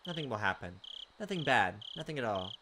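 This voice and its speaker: A man's voice: medium pitch, sing-song, fairly quick, noisy background. A man reading game text aloud as a let's play host, adding informal, playful commentary. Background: game music.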